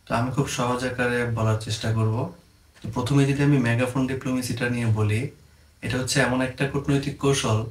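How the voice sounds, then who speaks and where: A man with a Bangladeshi accent gives an informal explainer lesson, mixing English terms into his explanations. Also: medium pitch. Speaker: presenter explaining diplomatic terms. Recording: echoey room, faint background noise.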